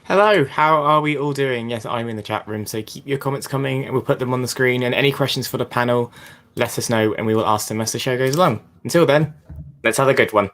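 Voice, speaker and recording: Male live-show chat moderator, casual delivery, brisk pace, average pitch. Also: a bit bright.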